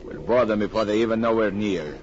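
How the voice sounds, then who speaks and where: An actor playing a cold, methodical gunrunner boss in old radio drama, giving deliberate, menacing orders. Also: male voice, on the low side, a little mellow, close to an everyday voice. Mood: calculating. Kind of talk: 1940s radio villain acting. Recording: muffled, noisy old radio recording.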